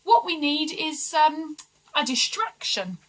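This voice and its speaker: A woman plays a scheming royal adviser from a children's storybook, speaking in a high, lively voice. The adviser is courteous and persuasive, taking time over each careful phrase. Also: slow, exaggerated voice, rough recording. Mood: soothing, hesitant.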